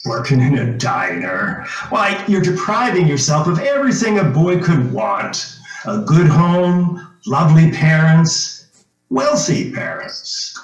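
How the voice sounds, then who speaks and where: An echoing radio-play voice with music behind it: a man playing a folksy, wheedling ex-police chief. He is somewhat high-pitched, swoops around a lot, and stammers his way into every pitch he makes. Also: very animated, slow, highs cut off, heavily acted. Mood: persuasive. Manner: hesitant, stammering, folksy, coaxing. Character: insistent, wheedling former small-town police chief. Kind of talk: old-time radio drama acting. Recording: roomy echo, music underneath.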